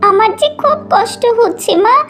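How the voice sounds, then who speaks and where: A very high-pitched little cartoon girl, pleading and eager. She calls out in a plaintive, drawn-out way, with light music behind her. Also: fairly bright tone. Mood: whining, hungry. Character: hungry young daughter, pleading and eager.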